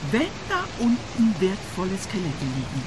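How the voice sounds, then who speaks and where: Actress playing older woman, money-grubbing prophet of doom, querulous, animated pitch, radio drama, rainy noisy backdrop. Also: normal speed, close to an everyday voice. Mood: grumbling, greedy. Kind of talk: radio play acting.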